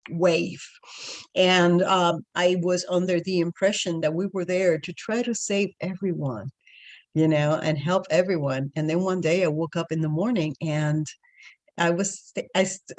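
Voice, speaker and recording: A woman with a Puerto Rican accent and a fairly low voice. She talks as a spiritual coach, unscripted, answering questions in her own words. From Puerto Rico.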